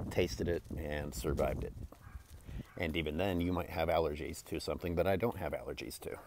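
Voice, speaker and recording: Young guy doing dry jokey narration over his vlog, deep voice. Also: darkish tone, a little hiss or hum. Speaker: vlogger narrating his foraging trip.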